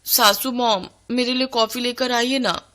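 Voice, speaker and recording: A woman's voice: fairly high pitch, fairly even intonation, measured pace, pushed and bright, voice pushed up. A woman voicing a spoiled modern young daughter-in-law in a cartoon story, breezy, bossy and demanding.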